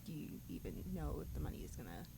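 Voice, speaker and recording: A woman's voice: low voice, sing-song, quick pace, vocal fry, a crowd in the background. A young woman interviewing students, asking probing questions in a conversational way.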